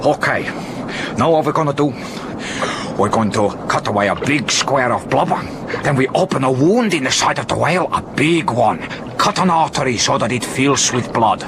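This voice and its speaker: A man's voice: medium pitch, expressive, normal speed, noisy background, close to an everyday voice. An actor playing a rugged, resourceful seafaring man in a radio thriller, speaking in terse, earthy sentences that often end in a questioning tag. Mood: commanding.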